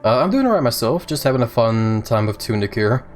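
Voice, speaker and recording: A man streaming a video game, chatting casually with his viewers as he plays, with chuckling asides and a slightly rough throat. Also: medium pitch, sing-song, fairly quick. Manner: chatty, reads and answers chat while playing. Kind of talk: casual let's-play commentary. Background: faint game music.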